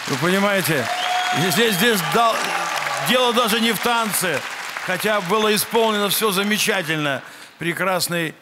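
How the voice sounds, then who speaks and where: Пожилой мужчина-судья, смеётся. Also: male voice, high voice, moderate pace, crowd noise, background noise. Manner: warm laughing praise. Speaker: show judge. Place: judges' table in TV studio.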